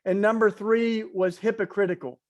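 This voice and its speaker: A man's voice: high voice, steady tone, moderate pace. A man with an East Tennessee accent, speaking earnestly and conversationally as a panelist in an online talk. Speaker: webinar panelist, faith organizer.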